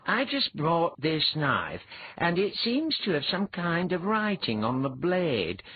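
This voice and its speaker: A man voicing a polite, earnest and excitable young fighter in an audiobook story. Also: medium pitch, normal speed, husky, dry recording, narrow, tinny audio, voice pushed up.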